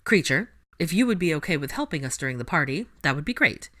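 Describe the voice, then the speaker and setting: A woman's voice: low-pitched, sing-song, fast talker, fairly bright tone, exaggerated voice. Woman reading as an earnest young wizard. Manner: earnest, slightly awkward.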